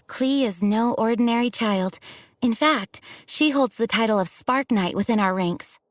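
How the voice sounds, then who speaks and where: Actress doing young lady knight captain, game dialogue, formal and stern but caring, slightly high voice. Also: no room sound, close to an everyday voice. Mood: weary, fond. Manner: formal, measured, authoritative. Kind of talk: video game voice acting.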